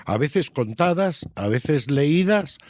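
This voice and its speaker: A man's voice: fairly high pitch, expressive, takes it slowly, a little mellow, dry recording. A man talking as an interviewed author, explaining things in a didactic, storytelling way and often checking in with short tag questions. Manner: didactic, checks in with rhetorical tags. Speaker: interviewed children's book author.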